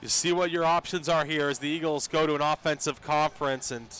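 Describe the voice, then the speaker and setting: A man's voice: a little high, steady tone, brisk, a bit bright, smooth, poor audio. A man giving color commentary and analysis during a live radio baseball broadcast. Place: outdoor baseball stadium broadcast.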